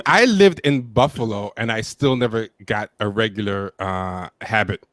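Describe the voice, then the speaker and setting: A man in his thirties co-hosting a casual livestream chat from home, joking at his own expense, laughing often and pausing on fillers. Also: fairly low pitch, a bit bright, no echo. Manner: self-deprecating, laughs often, trails off with fillers. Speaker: podcast co-host. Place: home room with plants and posters. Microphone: studio mic with headset, on a video call.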